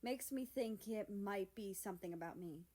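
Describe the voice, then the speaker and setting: A woman's voice: mid-range voice, moderate pace, dark tone, natural voice. A woman voicing an exasperated young male university student in a storybook, pleading and indignant with a groaning, whiny manner. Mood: probing.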